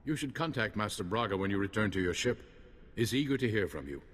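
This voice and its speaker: Courteous game Jedi, low pitch, calm, reserved, long hall reverb. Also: male voice, fast talker. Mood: calm, respectful. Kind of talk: scripted video game cutscene acting.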